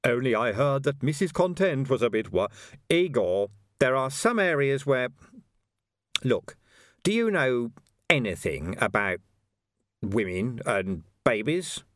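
A man's voice: mid-range voice, sing-song, unhurried, no echo, clean studio sound, voice pushed up. A man voicing a harried male watch commander who speaks in an abrupt, clipped way. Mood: flustered.

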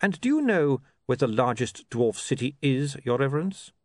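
A man voicing a calm, coolly ironic ruler of a fantasy city, measured and precise, in an audiobook. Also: medium pitch, no echo, voice pushed up, natural voice. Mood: calm, pointed.

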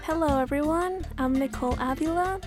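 A woman's voice: high-pitched, brisk, darkish tone, soft background music. A young woman from Utah, a college-age guest introducing herself in a friendly, brief way. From Utah, family from Peru. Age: young adult. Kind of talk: casual chat.

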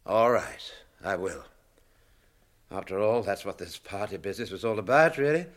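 An actor playing an elderly, whimsical and fussy hobbit with a British accent in a radio drama. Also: male voice, on the low side, expressive, moderate pace, lightly acted. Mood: uneasy, reflective. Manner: chatty, whimsical, old-fashioned exclamations.

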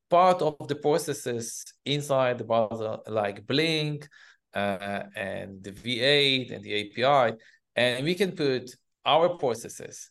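A man from Israel with an Israeli accent, explaining things as an interview guest in halting, hesitant phrases. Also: medium pitch, fairly bright tone. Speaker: guest, cybersecurity company CEO.

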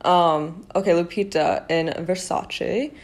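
A woman's voice: on the low side, steady tone, slow, darkish tone. A young woman hosting a podcast, chatting casually about fashion with a congested, nasal voice and lots of fillers. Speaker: podcast host.

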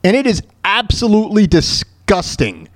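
A man's voice: high-pitched, huge pitch swings, normal speed, dry recording. A man hosting a solo podcast in a ranting style, speaking bluntly and emphatically and often repeating his phrases. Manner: blunt, profane. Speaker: podcast host.

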